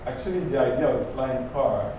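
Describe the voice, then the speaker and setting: A man's voice: mid-range voice, expressive. Belgian French-accented male panelist, hesitant, distant echoey room. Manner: halting, many restarts and fillers. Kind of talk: panel discussion. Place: event venue. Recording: echoey hall from afar, noisy.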